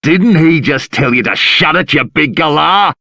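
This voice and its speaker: A man's voice: on the high side, lively intonation, moderate pace, gravelly, bright, forceful tone, no echo, clean studio sound, exaggerated voice. A man playing a bullying, sneering Australian prison guard in a video game, coarse and slangy. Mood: menacing.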